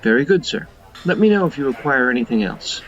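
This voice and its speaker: An actor playing a deferential, formal hotel waiter in an audio drama. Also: male voice, medium pitch, expressive, fairly quick, fairly bright tone, lightly acted. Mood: courteous. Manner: polite, formal. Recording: slightly dull, muffled sound.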